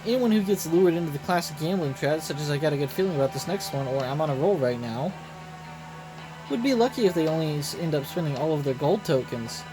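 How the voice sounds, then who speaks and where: Guy doing a grave casino know-it-all from a game, explains stuff carefully, lighter pitched, music under it. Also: lively intonation, fast talker, lightly acted, poor audio. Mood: grave, cautioning. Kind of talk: reading game story dialogue aloud. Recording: loud game music behind the voice.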